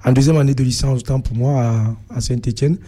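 A young man with a Cameroonian accent giving a personal account as an interview guest, speaking haltingly with many filled pauses. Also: mid-range voice, fairly even intonation, dark tone. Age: young adult. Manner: hesitant, halting delivery with frequent filled pauses.

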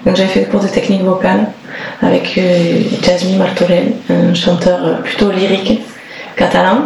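A woman's voice: fairly low pitch, flat delivery, moderate pace. A woman from the Toulouse area, a singer, talking casually in an interview and searching for her words with frequent restarts. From Toulouse area, southern France. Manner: thoughtful, hesitant, many restarts and fillers. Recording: roomy echo with clear background noise.

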